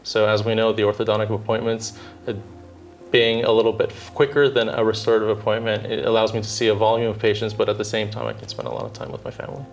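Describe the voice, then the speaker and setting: A man in his thirties from Southern California, a dentist answering interview questions in a measured, thoughtful way with frequent filler pauses. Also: lowish voice, flat delivery, creaky, soft background music. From Southern California, United States. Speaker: dentist and orthodontist guest.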